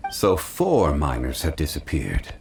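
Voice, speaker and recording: An actor playing a calm, learned gentleman in a video game, speaking formally and with composure. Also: very deep voice, measured pace, gravelly, far from the mic, slight background noise, exaggerated voice. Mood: calm, analytical. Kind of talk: video game character dialogue.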